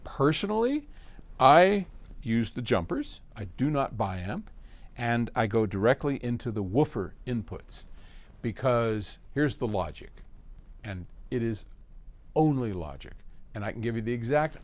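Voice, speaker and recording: Folksy man with lively, swooping intonation. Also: mid-range voice, unhurried. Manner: folksy, colloquial, emphatic. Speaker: host answering listener questions. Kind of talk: casual explanatory talk.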